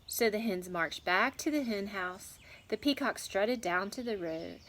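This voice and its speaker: A woman's voice: medium pitch, animated, a little slow, fairly bright tone. A woman teacher reading aloud and discussing with children in an instructive, questioning way, with some background noise. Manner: instructive, question-and-answer, checking understanding.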